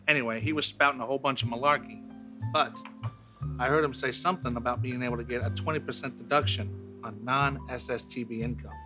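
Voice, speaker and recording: A man playing a chatty bartender who talks in a drawn-out, conversational way. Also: mid-range voice, natural voice. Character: folksy male bartender, chatty and gossipy.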